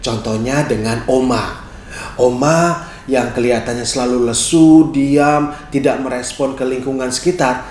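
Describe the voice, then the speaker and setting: A middle-aged man presenting instructions to camera in an expressive, clearly phrased storyteller's delivery. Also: medium pitch, unhurried, slight background noise. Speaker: storyteller and caregiver giving a tutorial. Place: small bright living room, indoors, seated on a sofa. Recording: echoey room.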